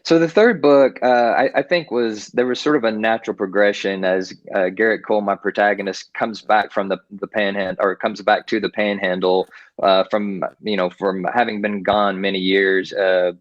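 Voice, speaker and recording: Male author guest from Texas, rambling chat, low voice, lo-fi recording. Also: fairly even intonation, normal speed, dry recording. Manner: rambling, many restarts and fillers. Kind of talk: casual interview talk. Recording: dull lo-fi, dry.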